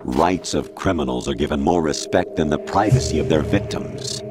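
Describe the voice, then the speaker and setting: A cold artificial ruler in a game cutscene, speaking with an extremely low, rumbling voice. He lectures slowly and condescendingly. Loud dramatic music plays behind him. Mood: cold lecturing. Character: cold male machine mind lecturing, mature. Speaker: game character. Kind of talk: video game cutscene voice acting. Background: loud dramatic music score.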